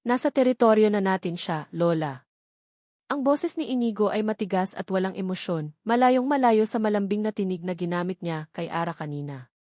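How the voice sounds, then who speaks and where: A woman with a Filipino accent reads a dramatic love story at a mid-range pitch. Her delivery is measured and theatrical, like scripted storytelling, and the recording is close and dry. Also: darkish tone, no room sound. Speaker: story narrator. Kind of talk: scripted dramatic romance narration.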